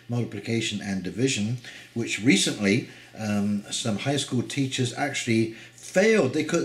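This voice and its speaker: A man's voice: fairly low pitch, roomy sound. An older man with a head cold, sounding stuffed up, giving rambling, indignant commentary as a channel host, with many ums and uhs. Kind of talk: casual commentary reading news.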